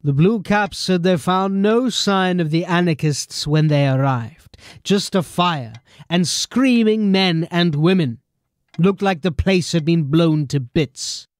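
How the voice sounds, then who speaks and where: Stern, slow-talking police captain. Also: male voice, high-pitched, no echo, clean studio sound. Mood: skeptical. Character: stern, skeptical male police captain, guardedly loyal. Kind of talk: dramatic audio drama acting.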